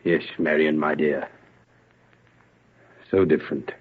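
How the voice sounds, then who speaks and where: Husky, brooding older father, old radio. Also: a bass voice, fairly even intonation, slow pace, heavily acted. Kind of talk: vintage radio drama acting. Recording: phone-like old radio recording.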